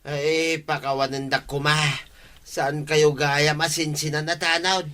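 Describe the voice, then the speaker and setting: A sheepish, bright-voiced Ilocano thief. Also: male voice, on the high side, flat delivery, unhurried. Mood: apologetic, pleading. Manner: hesitant, pleading, excuse-making. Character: sheepish male petty thief caught stealing ducks, making excuses. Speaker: radio drama actor. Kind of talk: Ilocano radio comedy drama acting.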